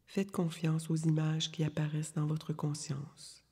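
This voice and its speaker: A woman guides a relaxation meditation in a long, washy reverb. Her delivery is very slow and calm, with long pauses between instructions. The voice is soft and breathy, staying on an even, level line. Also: low voice. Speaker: yoga nidra meditation guide. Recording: long reverberant hall-like echo.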